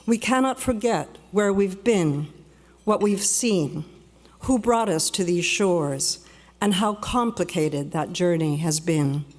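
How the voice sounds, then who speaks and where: A woman born in Peru giving a measured, reflective prepared speech at a luncheon, slowing down for emphasis. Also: fairly low pitch, darkish tone. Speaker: guest speaker. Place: banquet hall. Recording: long reverb of a large hall.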